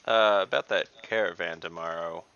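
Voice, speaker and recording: Male gamer, very low with heavy fry and a bright edge, casual roleplay, dull online-call audio. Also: fairly even intonation, moderate pace. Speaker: player in a tabletop roleplaying game. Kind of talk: casual in-game roleplay talk. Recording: lo-fi online call, faint noise.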